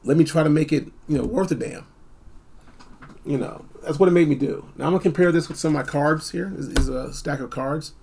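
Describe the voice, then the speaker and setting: A man giving a casual, unscripted gadget review, blunt and informal, rambling and repeating phrases for emphasis, with a gravelly, husky voice. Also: a little high, brisk, a little mellow, slight background noise. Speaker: product reviewer.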